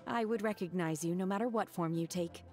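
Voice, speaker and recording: A woman voicing a calm, reserved young female disciple in a video game, speaking in a formal, matter-of-fact way. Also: fairly low pitch, a little fast, faint music behind, natural voice. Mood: composed.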